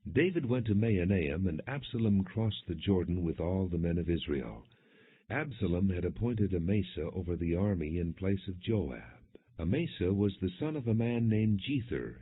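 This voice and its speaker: A man reading scripture as an audiobook narrator, in measured, clearly enunciated storytelling without dramatization. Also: deep voice. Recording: muffled, phone-like lo-fi audio.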